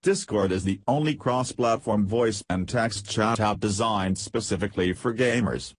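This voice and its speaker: A man narrating a scripted software tutorial in a flat, read-aloud instructional way. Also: fairly low pitch, normal speed, no room sound.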